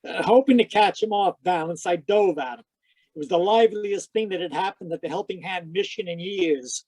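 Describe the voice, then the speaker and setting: An actor playing a wry, deadpan private detective, talking and narrating in an old-time radio drama. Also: male voice, high voice, normal speed, no echo, rough recording. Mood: wry narration. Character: wry hard-boiled private detective, Sam Spade. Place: live online performance over video call.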